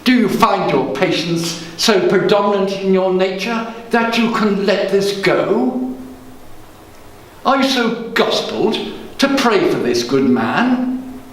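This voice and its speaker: A man performs as a guilty, scheming king in a Shakespearean tragedy on stage. His voice sits high and he declaims slowly, with bitter, brooding weight. The sound is distant, swimming in heavy room echo. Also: full character voice. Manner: slow, declamatory, theatrical. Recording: echoey room, distant microphone.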